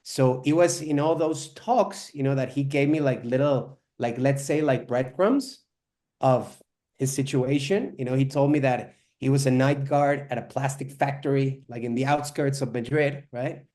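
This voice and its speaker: A man's voice: mid-range voice, moderate pace, dark tone. A young man born in Spain, a filmmaker, talking in a rambling way full of "you know" and "like" in an online interview. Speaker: filmmaker guest.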